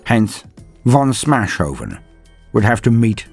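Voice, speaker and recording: A man's voice: mid-range voice, big pitch swings, slow, darkish tone, slightly rough, soft background music. A man narrating a scripted comedic tale in a theatrical, mock-grandiose and wry manner. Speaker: storyteller, channel narrator.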